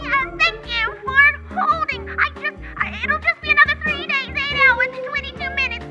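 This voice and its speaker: A woman voicing a squeaky, chirpy customer service agent on a hold line in a parody radio ad. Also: normal speed, sharp tone, exaggerated voice. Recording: dull lo-fi sound with hold music.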